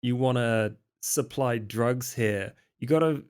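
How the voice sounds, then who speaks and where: An awkward, guarded young vampire dealer played by a man in a tabletop roleplay. He talks slowly and casually, with halting, stammered restarts. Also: medium pitch, darkish tone, clear, resonant tone, natural voice. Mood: negotiating. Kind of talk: improvised in-character roleplay dialogue.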